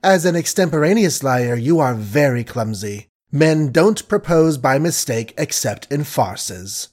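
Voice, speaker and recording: A man's voice: mid-range voice, lively intonation, measured pace, darkish tone. A man voicing a young woman who mocks with sweet, suave sarcasm in an audiobook reading. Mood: sweetly mocking. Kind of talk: audiobook character voice.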